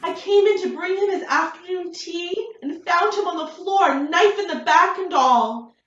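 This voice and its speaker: Woman acting an echoey, shrill, melodramatic housemaid. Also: normal speed, a bit bright, slight background noise. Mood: shaken. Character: excitable household maid, shocked and breathless. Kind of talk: old-time radio drama acting. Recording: echoey, distant, dull recording.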